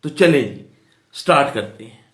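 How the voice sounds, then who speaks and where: A man's voice: medium pitch, expressive, moderate pace, fairly bright tone. A man with a Pakistani accent giving an explanatory lecture as a law teacher, in a didactic way, repeating points and answering his own questions. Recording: echoey room.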